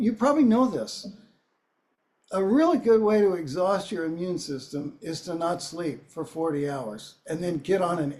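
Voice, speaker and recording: A hoarse man with a cold, a singer-songwriter introducing his song with wry, dry humor. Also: a little high, a little mellow. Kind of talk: relaxed spoken song introduction. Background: guitar playing.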